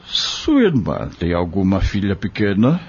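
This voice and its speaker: Um homem com sotaque brasileiro interpretando um médico bondoso e paciente em radionovela, explicando e aconselhando com gentileza. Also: male voice, low-pitched, huge pitch swings, slightly rough, heavily acted. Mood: caring, reassuring. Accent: Brazilian. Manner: slow, explanatory, gentle counsel. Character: kindly male doctor, patient, caring spiritist. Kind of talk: audio drama acting. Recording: dull lo-fi audio.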